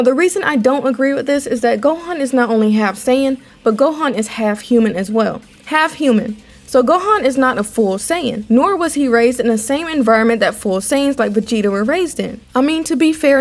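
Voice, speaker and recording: A young woman presents opinion commentary. Her voice sits fairly high and she explains things in a measured, conversational way over faint music. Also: expressive. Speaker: anime commentary video host.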